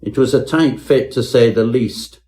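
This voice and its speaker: A man's voice: medium pitch, unhurried, warm tone, lightly acted. A man playing a pompous Edwardian professor, narrating his own parody ghost story in a florid, melodramatic way. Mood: haunted dread.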